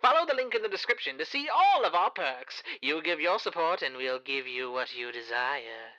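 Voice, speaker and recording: A suave demon dealmaker, highish and swooping, selling with theatrical polish like an old radio host. The sound is dull and radio-filtered. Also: male voice, big pitch swings, moderate pace, pushed and bright. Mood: suave, enticing. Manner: theatrical, silky, formal salesmanship.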